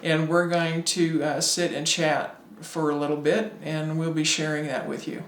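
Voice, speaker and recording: A middle-aged man interviewing his daughter in a relaxed way, hesitating with uhs as he asks his questions. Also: on the high side, moderate pace, slight background noise. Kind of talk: casual interview. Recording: distant mic in an echoey room.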